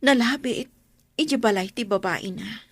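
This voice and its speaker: A woman's voice: a little high, moderate pace, slightly rough, no room sound, studio quality. A woman playing a wronged, struggling mother in a radio drama, speaking with an Ilocano accent. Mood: hurt, resigned. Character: Marlyn, long-suffering wronged wife and struggling mother.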